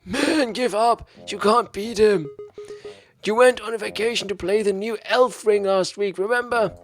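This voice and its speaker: A man's voice: sits high, a little slow, faint music behind, voice pushed up. A man voicing a dismissive office coworker making small talk, in a visual novel read aloud.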